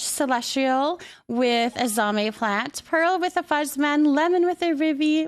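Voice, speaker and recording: A woman hosting a livestream, chatting casually and greeting viewers in a bubbly, affectionate, chatty way. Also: sits high. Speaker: live streamer hosting.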